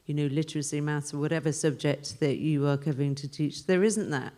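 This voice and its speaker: Deep-voiced woman making her case. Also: steady tone, brisk, mellow. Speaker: panel speaker on education. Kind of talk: unscripted panel discussion.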